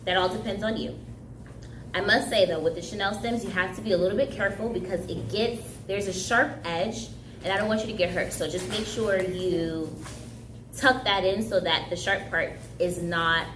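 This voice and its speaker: A woman's voice: medium pitch, steady tone, normal speed, reverberant, noisy background. A young woman whose first language is Haitian Creole, leading a casual, chatty craft tutorial for kids. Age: young adult. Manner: upbeat, chatty, laughs easily. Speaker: craft instructor. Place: indoor room, recreation center.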